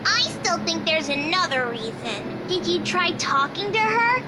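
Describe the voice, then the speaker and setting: A woman's voice: very high voice, big pitch swings, unhurried, heavy background noise, heavily acted. A woman voicing an innocent, curious, earnest little girl in a visual novel, plain-spoken and childlike, with music behind her. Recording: loud music behind the dialogue.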